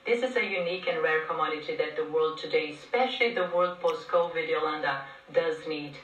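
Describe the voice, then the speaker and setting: A woman from Brazil, a podcast guest talking in a conversational, halting way with many fillers and restarts. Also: deep voice, fairly bright tone, muffled recording. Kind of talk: conversational interview. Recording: echoey room, distant from mic.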